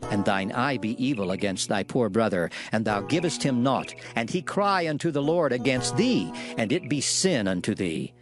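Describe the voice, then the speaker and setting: A man giving a solemn, measured, declamatory reading of scripture in a dramatized production. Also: medium pitch, very animated. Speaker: scripture reader. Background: background music.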